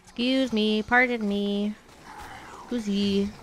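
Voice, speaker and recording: A woman's voice: medium pitch, steady tone, slow pace, a little hiss or hum, rough recording. A woman streamer giving casual, playful live gameplay commentary, chatting along and answering her viewers. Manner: chatty, playful, reading and answering chat aloud.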